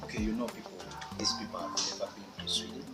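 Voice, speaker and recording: A man's voice: on the low side, fairly even intonation, fast. A man, joking and teasing in casual food-tasting chat. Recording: echoey room with loud music. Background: loud background music.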